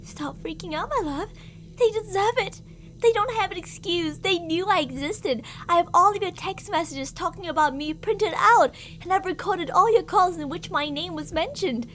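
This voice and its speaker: A woman voicing an obsessive, possessive young woman who holds her beloved captive, cooing sweetly with menace and snapping into shouts. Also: very high-pitched, moderate pace. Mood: menacing sweet. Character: obsessive possessive female yandere captor. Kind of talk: audio roleplay drama.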